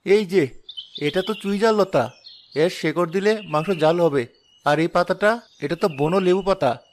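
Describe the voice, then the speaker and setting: A man's voice: high voice, a little slow, slight background noise. A man playing a poor, earnest young father and cook in a cartoon drama, anxious but hopeful. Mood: musing. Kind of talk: Bengali cartoon drama voice acting.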